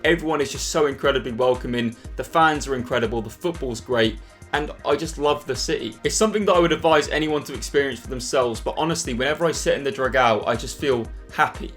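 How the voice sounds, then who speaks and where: Fast-talking earnest young man. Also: mid-range voice, a bit bright, faint music behind. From England. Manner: candid, earnest, quick-talking. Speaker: football vlogger. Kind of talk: casual vlog to camera.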